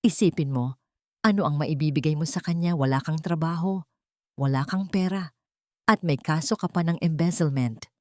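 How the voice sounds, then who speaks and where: A woman's voice: sits low, moderate pace, no echo, clean studio sound, close to an everyday voice. A woman with a Filipino accent voicing a cold, condescending rich husband in an audio story, stern and pressuring. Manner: stern, pressuring, switches into English.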